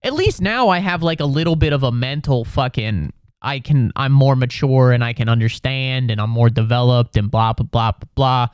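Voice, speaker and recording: A man in his twenties, sick with a cold, hosting a comedy podcast from home in a profane, exasperated rant with sudden squeaky outbursts. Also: moderate pace, hardly any pauses, smooth, no echo, studio quality. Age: 20s. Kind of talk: ranting comedic monologue. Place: home room.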